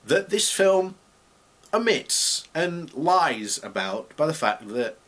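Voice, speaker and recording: A man's voice: a little high, very animated, takes it slowly, fairly bright tone. A man giving unscripted, opinionated commentary as a video blogger, speaking emphatically and indignantly and repeating himself to drive points home.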